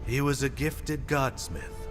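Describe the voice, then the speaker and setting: An actor playing a cautious, thoughtful old-friend mentor in a video game, grave and deliberate. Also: male voice, mid-range voice, expressive. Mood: reflective. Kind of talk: video game cutscene acting. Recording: long reverb with music behind.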